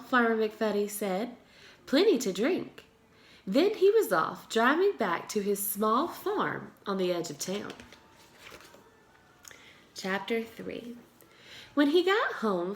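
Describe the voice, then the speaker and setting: A woman reading a children's storybook aloud in an expressive way, as a teacher does for young children. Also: medium pitch.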